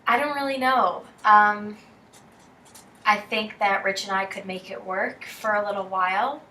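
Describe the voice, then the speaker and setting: A woman's voice: medium pitch, measured pace, pushed and bright. Hesitant young woman, distant and echoey. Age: young adult. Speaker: student athlete. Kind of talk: casual conversation.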